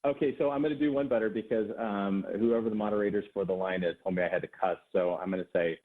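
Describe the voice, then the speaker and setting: Caller: man, low deep voice, easygoing, heard over a tinny phone line. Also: fairly even intonation, fast talker. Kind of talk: casual call-in chat.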